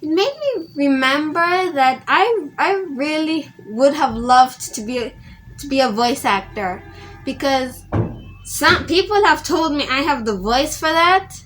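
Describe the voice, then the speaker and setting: A woman's voice: sits high, lively intonation, measured pace, fairly bright tone, slight background noise, low-quality recording. A teenage girl talking casually to her viewers, rambling and often restarting her sentences. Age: teens. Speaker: vlogger. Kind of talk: casual vlog tribute.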